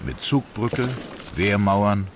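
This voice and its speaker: A man's voice: lowish voice, animated, slow pace, noisy background. A man reading scripted documentary narration as the narrator. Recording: voice over background music. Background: music.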